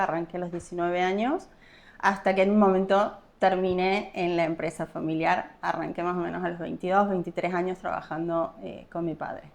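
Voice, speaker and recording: Argentine woman, podcast guest, easygoing and unhurried, pauses to find her words. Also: lowish voice. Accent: Argentine Spanish. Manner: relaxed, searching for words, pausing often. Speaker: guest, property investor. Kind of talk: casual podcast interview.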